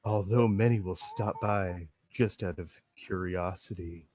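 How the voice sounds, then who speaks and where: A man reading the lines of a shy, intense teenage schoolgirl in a game read-along, soft and measured. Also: deep voice, dry recording. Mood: calm. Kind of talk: read-aloud of a game script with friends.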